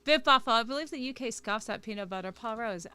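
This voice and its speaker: A woman co-hosts a casual livestream and reads out comments from viewers. Her voice is bright and crisp, sitting a little high, in an informal chatting style. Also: quick pace, no echo. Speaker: co-host reading out viewer comments.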